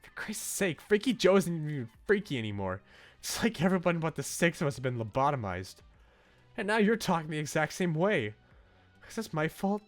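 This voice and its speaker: An anxious young woman from a visual novel, read out by a man, rants and pleads earnestly. The delivery is frustrated and very animated in pitch. Game music plays faintly behind. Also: normal speed. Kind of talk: dramatic reading of game dialogue.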